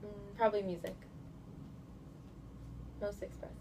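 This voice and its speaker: A woman from California in her thirties, answering interview questions in a relaxed, chatty way with lots of fillers. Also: mid-range voice, unhurried. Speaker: guest. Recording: noisy indoor recording.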